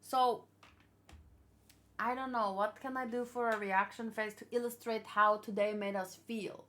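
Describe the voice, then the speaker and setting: Higher-pitched woman, musing casually. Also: steady tone, measured pace, a bit bright. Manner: musing, thinking aloud, asking questions. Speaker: manga reaction host. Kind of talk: casual unscripted chat.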